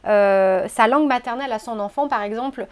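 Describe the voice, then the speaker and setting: A French woman hosts the interview, summing up what her guest has said before posing longer follow-up questions. Her voice sits somewhat high for a woman. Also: fairly quick, a little mellow. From France. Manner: summarizing, probing questions. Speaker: podcast interviewer.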